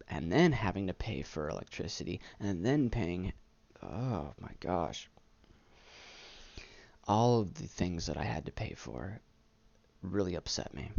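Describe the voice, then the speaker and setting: A young adult man talking candidly about himself as a vlogger, halting and stuttering on words, earnest and self-deprecating. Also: deep voice, gravelly, darkish tone, dry recording, highs cut off. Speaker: YouTube vlogger. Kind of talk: candid personal monologue, casual chat. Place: small room indoors.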